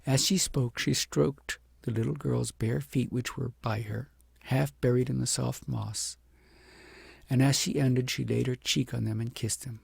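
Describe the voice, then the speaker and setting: An audiobook narrator reads a fairy tale in a dark, mellow voice. It is strongly creaky and husky, and the recording is dry. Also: mid-range voice, fairly even intonation, unhurried, no room sound. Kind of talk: scripted storybook narration.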